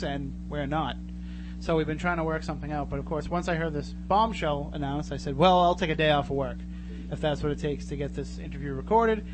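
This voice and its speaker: Male radio host, slightly high voice, chatty and jokey, noisy live broadcast with hum. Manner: joking, bantering. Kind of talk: casual live radio talk. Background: clear noise and hum.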